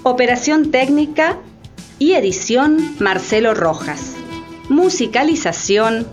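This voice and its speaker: A woman's voice: on the high side, lively intonation, slow pace. A woman narrating and announcing in a scripted radio theatre style. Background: light music.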